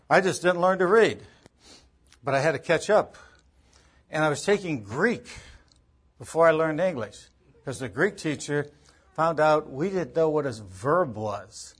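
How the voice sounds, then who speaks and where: Husky-voiced man teaching emphatically. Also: fairly high pitch. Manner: emphatic, repeats points, rhetorical questions. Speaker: Bible teacher, preacher. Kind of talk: teaching lecture on biblical interpretation.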